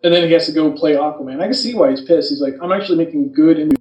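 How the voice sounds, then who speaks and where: A man's voice: fairly high pitch, very fast, a little mellow, a little hiss or hum. Opinionated man, echoey and distant from mic. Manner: insistent, opinionated, explanatory. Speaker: podcast co-host. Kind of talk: casual movie debate. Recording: muffled, echoey, distant microphone.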